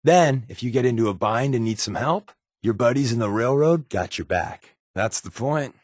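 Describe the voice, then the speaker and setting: A man's voice: medium pitch, expressive, normal speed, a little mellow, no echo, clean studio sound, natural voice. A man playing a sly, wry undercover resistance agent in a video game, talking in a casual, glib, sardonic way. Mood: persuasive, wry. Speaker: video game companion character. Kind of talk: scripted game dialogue, conversational.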